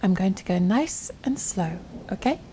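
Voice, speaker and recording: A woman playing a tender, doting mother in a soft-spoken ASMR bedtime roleplay, gentle, soothing and affectionate, often dropping to a whisper. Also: mid-range voice, expressive, normal speed, a little hiss or hum, lightly acted, poor audio. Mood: soothing, hushed. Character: tender, doting mother soothing her little girl to sleep.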